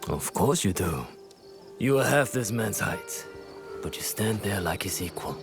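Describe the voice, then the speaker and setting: A shrewd, calm strategist who explains tactics in a measured, lecturing way. His voice sits a little low, with game music clearly underneath. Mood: knowing. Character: shrewd older male strategist, calm and knowing.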